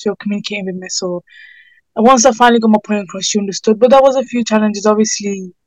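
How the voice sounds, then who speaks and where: A woman's voice: medium pitch, fast talker, no room sound, poor audio. A sixteen-year-old young woman with a British accent, giving informal, earnest and somewhat hesitant answers in an interview. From United Kingdom. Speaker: interviewee, student campaigner.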